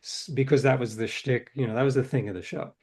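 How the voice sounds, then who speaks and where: A man's voice: medium pitch, steady tone, fast, a little mellow, slightly rough. Thoughtful man telling stories, middle-aged. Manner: thoughtful, restarts and trails off between ideas. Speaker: interview guest, novelist. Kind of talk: reflective conversational storytelling.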